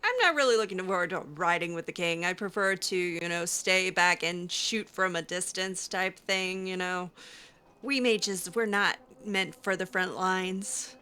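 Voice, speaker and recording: A woman gamer improvising in character in a casual, hesitant, halting way as she plays. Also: fairly low pitch, sharp tone, clear, resonant tone. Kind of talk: improvised casual roleplay commentary over a game.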